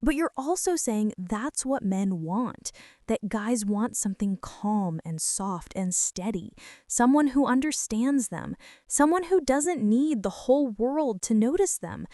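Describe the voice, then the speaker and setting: A woman playing a shy, quiet, self-doubting high school girl in an ASMR roleplay, speaking softly and hesitantly. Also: no room sound, clean studio sound. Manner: soft, hesitant, flustered, often breaking into breathy whispers.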